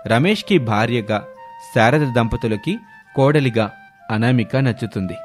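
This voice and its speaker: Male narrator, scripted storytelling, middle pitch, music underneath. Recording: music bed behind voice.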